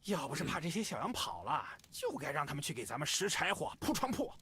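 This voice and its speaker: A man voicing a tired, practical male bandit companion in a historical game, speaking in a gruff, matter-of-fact way. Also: a little high, sing-song, fast, crowd noise. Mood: weary grumbling. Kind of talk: game voice acting.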